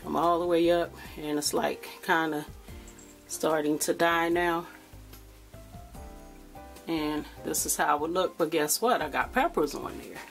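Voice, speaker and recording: Woman, lower voice, homey rambling vlog chat, handheld phone audio, faint music bed. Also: measured pace, creaky, pauses often. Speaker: vlogger showing her garden. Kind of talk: casual chat to camera while gardening. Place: backyard outdoors, then indoors by a window.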